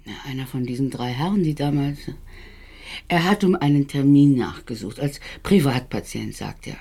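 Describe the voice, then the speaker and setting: An actress playing a polite, hesitant and concerned receptionist to a professor, in a radio drama. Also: female voice, extremely low pitch, normal speed, mellow, breathy edge, heavily acted. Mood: discreet, concerned. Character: discreet, deferential, concerned female receptionist of a professor.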